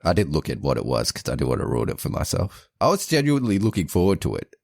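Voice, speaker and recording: A man's voice: deep voice, huge pitch swings, quick pace, vocal fry, darkish tone, no room sound, studio quality. A man co-hosting a podcast in casual chat, joking and opinionated, laughing often. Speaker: podcast co-host.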